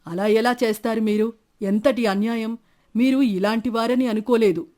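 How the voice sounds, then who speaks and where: A woman's voice: fairly high pitch, steady tone, moderate pace, darkish tone, lightly acted. Woman playing a scheming, stingy village man. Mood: indignant. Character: scheming stingy middle-aged village man, shameless freeloader. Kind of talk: dramatised moral story narration.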